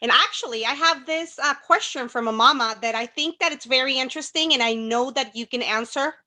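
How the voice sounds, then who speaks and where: High, bright woman. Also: fairly quick. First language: Spanish. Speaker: co-host, social media manager. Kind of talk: casual advice discussion, reading questions.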